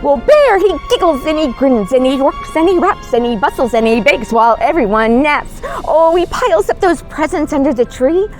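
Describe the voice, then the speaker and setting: Animated, high-voiced woman reading to kids. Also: normal speed, few pauses, faint music behind. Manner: animated, exclaiming, coaxing, dramatic gasps. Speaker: costumed storyteller as Mrs. Claus. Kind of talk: expressive read-aloud storytelling to young children.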